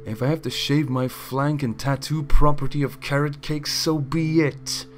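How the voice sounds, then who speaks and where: A hysterical mare baker shrieks and rants with manic, possessive fury, as a man puts her on. The voice jumps high and loud above the reader's own. A faint bed of music sits under the storybook reading. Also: fairly even intonation, measured pace, natural voice. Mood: furious.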